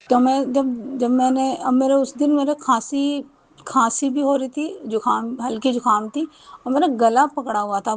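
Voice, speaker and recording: A woman's voice: on the high side, no room sound, low-quality recording. A woman, telling a personal devotional testimony in a rambling way, with many restarts and repeated thanks.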